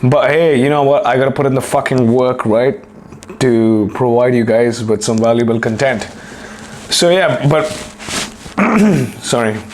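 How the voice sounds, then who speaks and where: A hoarse young man hosting a solo fitness podcast, rambling and swearing casually while clearing his throat. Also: medium pitch, measured pace, a little mellow, a little hiss or hum. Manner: profane, rambling, self-deprecating. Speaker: podcast host and fitness coach.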